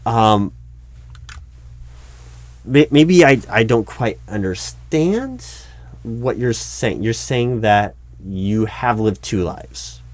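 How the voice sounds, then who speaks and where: A man playing a baffled, exasperated recruiting manager in a one-sided ASMR job interview roleplay, speaking aloud with halting, repeated words. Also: fairly low pitch, animated, no room sound. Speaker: roleplay performer.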